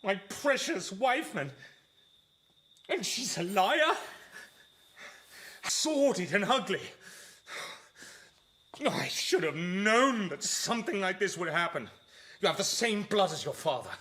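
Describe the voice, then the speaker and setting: A man plays a possessive, self-important husband in a radio drama. His delivery is declamatory and swings widely in pitch, moving between sentiment and self-pity. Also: sharp tone, exaggerated voice. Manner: theatrical, declamatory.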